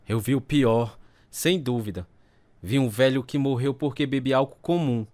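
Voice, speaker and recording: A man's voice: medium pitch, moderate pace, background noise, close to an everyday voice. An actor playing a troubled recovering alcoholic man, narrating his life story in an earnest, confessional way. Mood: somber, reflective. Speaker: narrator and protagonist. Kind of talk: dramatized testimony narration with acted scenes.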